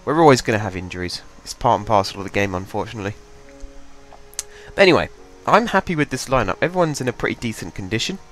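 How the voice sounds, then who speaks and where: A man's voice: on the low side, expressive, fast, fairly bright tone, faint music behind. Young guy w a cold, rambly gaming commentary at his desk, congested and casual. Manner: chatty, rambling, thinks aloud with fillers. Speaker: gaming channel host. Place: home desk.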